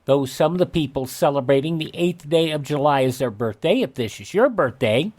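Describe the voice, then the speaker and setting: A man hosting a radio program, talking in an announcer-like way as he reads out items and pitches. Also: animated, brisk, darkish tone. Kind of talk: radio hosting and live-read ads.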